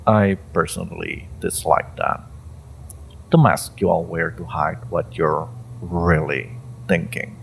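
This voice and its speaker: Man acting a slow, gravelly, menacing interrogator. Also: low-pitched, steady tone, a little hiss or hum, heavily acted. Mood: patient, disdainful. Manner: deliberate, sardonic, halting with pointed pauses. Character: menacing, suspicious male agency interrogator, coldly patient. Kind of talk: slow dramatic monologue, audio roleplay.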